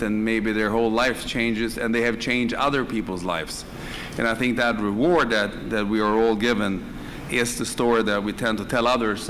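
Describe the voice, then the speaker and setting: A man with a Swedish accent speaks off the cuff on a panel, in a medium-pitched voice. He pauses often mid-phrase as he tells his story. The live recording carries audible background noise. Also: fairly bright tone, long reverb tail. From northern Sweden. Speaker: conference panelist, direct selling executive. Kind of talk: unscripted personal storytelling on a panel. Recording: live conference room, clear background noise.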